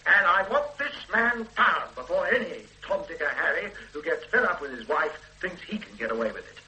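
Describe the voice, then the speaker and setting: An actor playing a measured, dryly authoritative police detective in a radio drama. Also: male voice, a little high, pushed and bright, full character voice, rough recording. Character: methodical middle-aged police detective superintendent. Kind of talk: 1970s radio drama acting.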